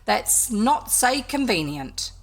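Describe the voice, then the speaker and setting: A woman's voice: fairly high pitch, very animated, slow pace, slightly rough, full character voice. A woman voicing a weary, put-upon old male farmer, reading his dialect lines aloud in her own voice without putting on an accent. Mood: complaining.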